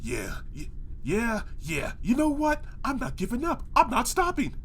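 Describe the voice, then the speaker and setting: Male actor as sarcastic, put-upon cartoon pony for comedy horror audio drama; ranting delivery, very animated intonation, some noise behind. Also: very high-pitched, a little slow, full character voice. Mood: defiant frustration. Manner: sarcastic, ranting, talking back to the narrator. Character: exasperated, sarcastic male cartoon pony trapped in a haunted mansion.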